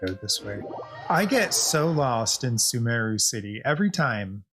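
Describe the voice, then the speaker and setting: A man's voice: medium pitch, sing-song, moderate pace, fairly bright tone, breathy edge. A man streaming a game, chatting casually in a laid-back, rambling way. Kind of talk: casual chat while playing a game, reading lines aloud. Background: game music.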